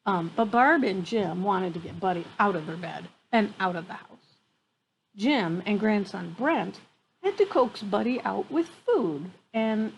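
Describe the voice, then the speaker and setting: A woman in her fifties reads a picture book aloud in an expressive way, pausing for casual asides about the pictures. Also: fairly high pitch, low-quality recording. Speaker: storyteller reading a picture book to children. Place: outdoor wooden deck among trees. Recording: clear background noise, outdoor ambience.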